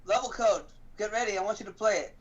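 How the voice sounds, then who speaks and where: A man's voice: sits high, fast talker. A man co-hosting a gaming livestream, chatting casually in a blunt, offhand way. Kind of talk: casual chat. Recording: muffled, lo-fi stream audio.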